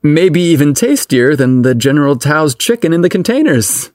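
A man's voice: fairly high pitch, sing-song, moderate pace, darkish tone, no room sound, studio quality. A man voicing an awkward, flirtatious man on a date in an audio story, hesitant and teasing. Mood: playfully teasing.

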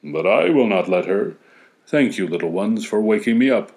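A man's voice: lowish voice, animated, normal speed, a little mellow. A man voicing Father Christmas, a kindly and resolute old winter spirit.

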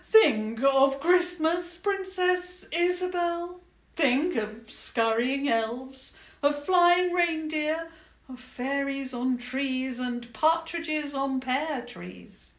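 A woman voicing a tall, regal female goblin queen, cold and smug, who speaks slowly and grandly with mockery. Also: high voice, voice pushed up. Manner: slow, grand and mocking. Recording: echoey room.

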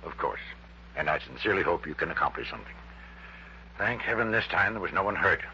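A pompous, grandiose male project chief in an old radio drama. His very deep voice swings widely as he speaks in formal, emphatic phrases. The recording is dull and faintly noisy. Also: big pitch swings, quick pace, vocal fry, sharp tone, full character voice. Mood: grave. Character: proud, grandiose male head of a rocket-fuel project. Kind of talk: 1960s radio drama acting. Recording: dull, humming early-1960s radio recording.

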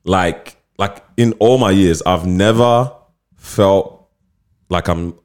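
Laid-back deep-voiced young man. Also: slow, smooth. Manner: laid-back slangy talk, says bruv and fam. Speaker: podcast host. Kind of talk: casual chat.

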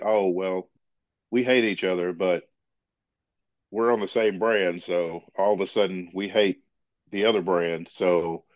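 Congested male co-host with a very low voice, dry close sound, slightly muffled lo-fi mic. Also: dry recording. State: congested with a head cold. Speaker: podcast co-host. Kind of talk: casual chat.

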